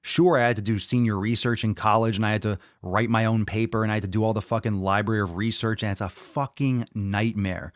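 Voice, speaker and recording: Young male podcast host, lowish voice, blunt and profane, dry close-mic sound. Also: steady tone, brisk, hardly any pauses, no room sound. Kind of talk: solo podcast rant.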